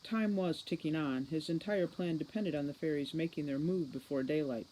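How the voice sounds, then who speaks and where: A woman reading an audiobook novel aloud in an expressive storytelling style. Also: low voice, moderate pace, poor audio. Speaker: audiobook reader. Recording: faint background noise.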